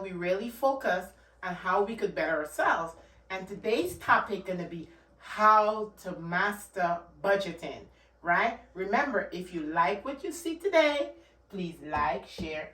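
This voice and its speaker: A woman's voice: medium pitch, a bit bright, recorded from a distance. A woman from Guyana with a Guyanese accent, a channel host giving earnest, emphatic money advice to camera. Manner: earnest, repeats points, emphatic. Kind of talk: casual talk-to-camera advice. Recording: echoey room, off the mic.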